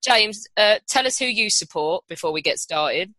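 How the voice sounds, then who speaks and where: A woman's voice: medium pitch, expressive, normal speed, bright, forceful tone, no echo, rough recording. A woman with a British accent hosting a casual panel chat in an irreverent, sweary, teasing manner. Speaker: podcast host.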